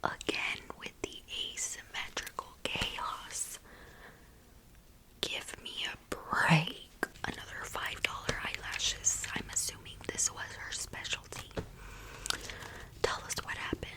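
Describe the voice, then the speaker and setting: A woman's voice: slow, sharp tone, poor audio. A woman playing a prim, bossy, nerdy student council president in an ASMR roleplay, formal and exasperated.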